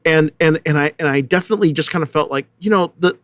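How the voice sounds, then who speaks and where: A man's voice: on the high side, fast, fairly bright tone, no echo, studio quality. A middle-aged man, an actor and director, speaking casually in a panel discussion.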